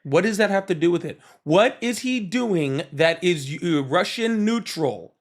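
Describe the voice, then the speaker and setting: A man's voice: high-pitched, expressive. Combative man hosting a call-in debate. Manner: combative, interrupting, rapid-fire pressing questions. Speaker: political call-in show host. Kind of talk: heated live debate with a caller.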